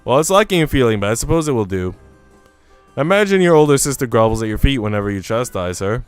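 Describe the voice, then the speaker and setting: A man's voice: medium pitch, animated, fast talker, smooth, faint music behind, close to an everyday voice. Man as a haughty, scolding rich girl. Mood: haughty, annoyed. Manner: imperious, scolding. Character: haughty, snappish blonde girl with ringlets. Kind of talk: visual novel character reading.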